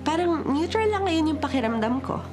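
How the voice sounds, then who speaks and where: Breezy high-voiced office girl. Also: animated, normal speed, music in the background. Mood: chatty, curious. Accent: Filipino. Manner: breezy, gossipy, Taglish with girlish slang. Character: chatty, friendly female office co-worker who senses spirits. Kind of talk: Filipino radio drama acting.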